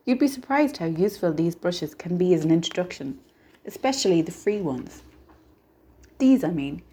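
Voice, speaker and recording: A little stranger with a peddler's patter, voiced by a woman reading a story. He is kindly and mysterious, coaxing with patient good cheer in a soft, mellow tone. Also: on the low side, expressive, moderate pace, husky. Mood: patient, persuasive. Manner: patient, coaxing, brightly reassuring.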